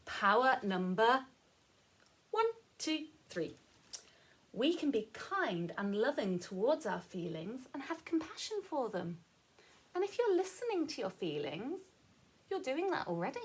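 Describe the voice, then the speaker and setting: Female children's story reader, high-pitched and slightly husky, playful, encouraging, interactive. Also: big pitch swings, unhurried, pauses often. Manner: playful, encouraging, asks the listener questions. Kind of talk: animated read-aloud with commentary for young children.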